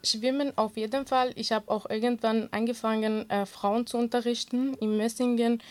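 A woman whose first language is Kurdish, giving earnest, conversational interview answers in non-native German as a guest. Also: fairly high pitch. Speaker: interview guest, swimming teacher and social media creator.

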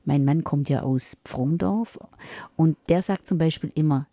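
Middle-aged Upper Swabian woman, interview guest, warm and dry, halting delivery. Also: low-pitched, no room sound. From Upper Swabia, Germany. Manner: hesitant, many fillers and restarts. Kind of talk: casual interview chat.

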